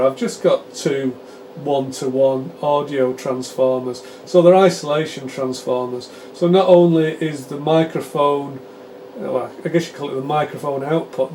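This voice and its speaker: A British man gives an informal hands-on technical explanation as a presenter, chatty and halting as he explains things. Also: mid-range voice, fairly even intonation, mellow, a little hiss or hum. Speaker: presenter demonstrating radio repair equipment.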